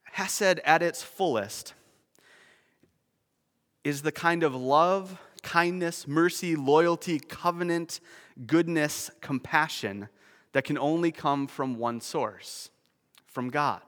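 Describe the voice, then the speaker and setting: A man's voice: fairly high pitch, slow pace, a bit bright, long reverb tail. A man preaching a sermon, explaining a word's meaning with nerdy, self-deprecating asides. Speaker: pastor.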